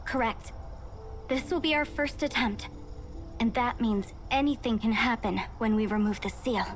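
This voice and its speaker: A woman's voice: fairly high pitch, moderate pace, lightly acted. A woman playing an earnest, dutiful young researcher in a video game, formal and polite as she explains things. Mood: studious, polite. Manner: formal, expository, apologetic. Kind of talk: scripted video game dialogue. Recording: music behind the voice.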